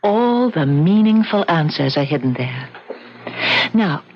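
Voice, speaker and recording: Vintage radio wife: genteel, probing sweetness, lowish pitch, deliberate phrasing, dull sound. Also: female voice, lively intonation, heavily acted. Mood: sweetly insinuating. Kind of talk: old-time radio drama acting. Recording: muffled old radio recording.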